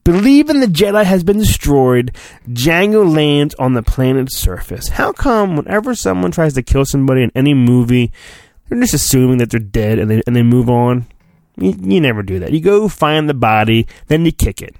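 A man giving a mock-dramatic storybook reading, theatrical in delivery, dropping into goofy, deadpan, sarcastic asides. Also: mid-range voice, moderate pace, dry recording, clean studio sound. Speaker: podcast host.

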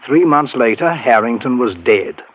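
Husky actor as a gravely worried friend. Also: male voice, mid-range voice, lively intonation, normal speed, natural voice. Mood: worried, ominous. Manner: grave, hesitant, ominous. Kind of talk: 1940s radio horror acting. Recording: tinny, narrow old radio recording.

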